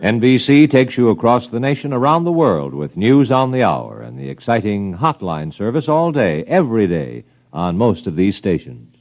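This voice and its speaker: A man's voice: medium pitch, sing-song, moderate pace, a little mellow. A man reading a scripted 1950s radio promo as a network announcer. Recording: dull vintage radio recording.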